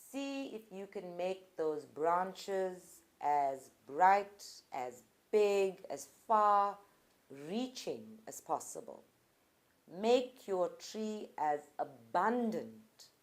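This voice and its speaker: A woman guides a group meditation in a fairly low voice. Her delivery is very slow and deliberate, with short phrases set apart by pauses. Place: live group session at a centre.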